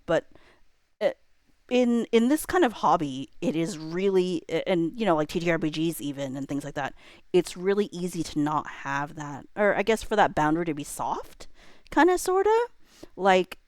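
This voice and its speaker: A woman in her thirties talks in a low register, recorded dry with hardly any room sound. She chats reflectively like a streamer addressing viewers. Her delivery rambles, with hedged phrases and thoughts left trailing. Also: expressive, normal speed, a little mellow, dry recording. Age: millennial, around 30s. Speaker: livestreamer. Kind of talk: casual reflective chat to viewers.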